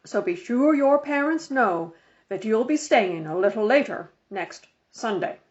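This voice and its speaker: Male superintendent for a storybook, kindly, formal, deliberate announcer delivery read by a woman, slightly dull sound. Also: a little high, expressive. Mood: official, upbeat.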